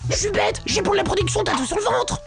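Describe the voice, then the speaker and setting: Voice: extremely high pitch, fast talker, vocal fry, over music. An actor voicing a small, hysterical, whiny cartoon animal in a frantic way. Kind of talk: cartoon voice acting.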